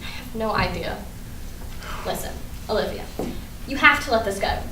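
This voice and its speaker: A woman acting a proud, blunt, guarded high school girl with an emphatic, dry manner in a staged play reading. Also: animated, moderate pace, close to an everyday voice. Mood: hurt, firm. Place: indoor room at a staged reading. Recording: roomy, distant mic, faint noise.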